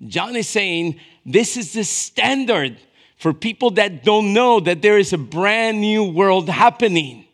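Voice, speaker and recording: A pastor in his fifties with a notably high voice for a man. He preaches in a conversational, storytelling way, checking in with short questions and moving from quiet asides to raised, dramatic emphasis. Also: lively intonation, unhurried. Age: 50s.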